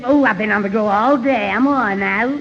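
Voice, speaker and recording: Guy doing a dopey lad, whiny and stammery, super high voice, crackly old radio comedy. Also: moderate pace, close to an everyday voice. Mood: plaintive. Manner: stammering, muddled, plaintive. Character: hapless, dim, whining lovestruck young man. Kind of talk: radio sitcom acting. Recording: noisy, dull old radio recording in hall.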